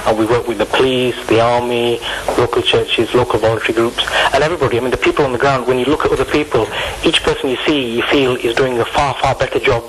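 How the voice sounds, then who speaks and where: Male British charity spokesman, mid-pitched, earnest and modest, interviewed by phone with clear noise on the line. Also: steady tone, fast talker, few pauses. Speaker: charity representative, interview guest. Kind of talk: informal radio phone interview. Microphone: telephone. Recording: noisy phone line into radio.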